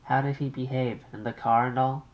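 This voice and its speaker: Male narrator playing a fretful middle-aged mother, pitched high and loud, insistent and pushy. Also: level tone, normal speed, close to an everyday voice, low-quality recording. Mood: anxious. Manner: worried, insistent, interrupting.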